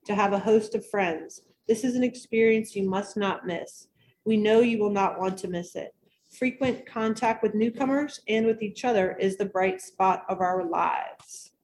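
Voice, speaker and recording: A woman leading a meeting, reading a passage aloud in a steady, measured way. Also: mid-range voice, slight background noise, low-quality recording.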